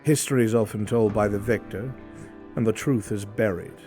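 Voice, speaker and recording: A man's voice: on the low side, fairly even intonation, moderate pace, warm tone, natural voice. A man playing a stern, courteous imperial military man who speaks formally and rhetorically in a live fantasy roleplay. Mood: firm, courteous. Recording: music behind the voice.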